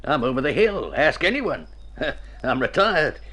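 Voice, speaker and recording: An actor playing a wry, husky-voiced old retired country doctor with a folksy, teasing manner in vintage radio drama. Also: male voice, on the high side, sing-song, a little slow, bright, forceful tone, full character voice. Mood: amused, dismissive.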